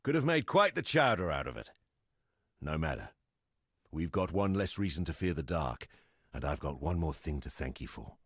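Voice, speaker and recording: An actor playing a gruff, weary exile fighter in a fantasy video game, a plain-spoken, wry camp leader with a gravelly voice. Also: male voice, rumbling low, sing-song, normal speed, no echo, clean studio sound, full character voice. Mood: wry gratitude. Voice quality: gravelly, husky. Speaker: non-player quest character.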